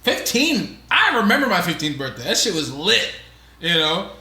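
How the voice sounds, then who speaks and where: A man's voice: sits high, sing-song, normal speed, bright, forceful tone, roomy sound. A man in his twenties, co-hosting a podcast in casual chat, rambling with lots of fillers. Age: 20s. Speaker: podcast co-host.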